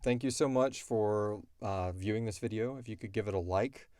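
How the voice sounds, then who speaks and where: Low-pitched man chatting casually, close and dry. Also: normal speed, warm tone, no room sound. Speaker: host and creator of an audio drama podcast. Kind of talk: casual chat answering listener questions.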